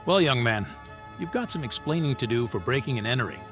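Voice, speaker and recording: An actor playing a stern but caring police officer in a horror audio drama. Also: male voice, medium pitch, animated, fast, fairly bright tone, faint music behind, close to an everyday voice. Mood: stern, reassuring.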